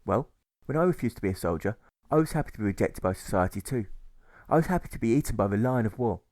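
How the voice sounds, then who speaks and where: A man reading a gentle, apologetic young pacifist with earnest, repetitive pleading in an audiobook. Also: on the low side, lively intonation, quick pace, dry recording, close to an everyday voice. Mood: serene, earnest.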